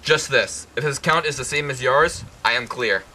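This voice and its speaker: Bright-voiced man acting a suspicious tragic king. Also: medium pitch, normal speed, slight background noise, low-quality recording. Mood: anxious. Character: suspicious, anguished king of Greek tragedy. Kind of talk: amateur scripted puppet-show acting.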